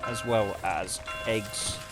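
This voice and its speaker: A man giving casual, subdued gameplay commentary in halting phrases, his voice slightly off from a sore throat. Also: fairly low pitch, over loud music. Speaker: video game let's player. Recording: clearly noisy background.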